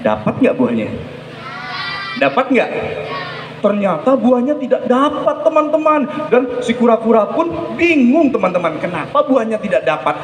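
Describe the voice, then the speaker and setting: Lively woman storyteller in an echoey hall. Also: fairly high pitch, normal speed. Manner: asks listeners questions, draws out key words. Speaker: children's storyteller. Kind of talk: animated interactive storytelling to children. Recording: echoey hall, faint background noise.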